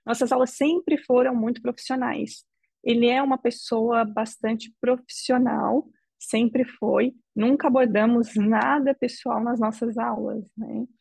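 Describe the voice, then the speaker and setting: A Brazilian woman interviewee telling her personal story in a measured, reflective way, often ending phrases with a questioning tag. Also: a little high, steady tone, gravelly, darkish tone, slightly rough, no echo. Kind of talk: emotional personal testimony.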